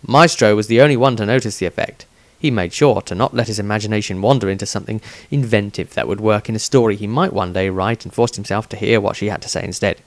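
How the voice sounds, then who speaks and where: A man's voice: fairly low pitch, fast, no room sound. A man reading his own fantasy story as scripted narration.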